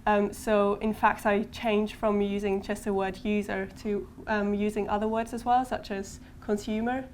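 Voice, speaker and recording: Soft, flat-voiced Finnish-born woman. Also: medium pitch, moderate pace, slight background noise. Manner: thoughtful, hesitant with frequent ums. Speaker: guest. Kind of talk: conversational podcast interview. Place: conference venue.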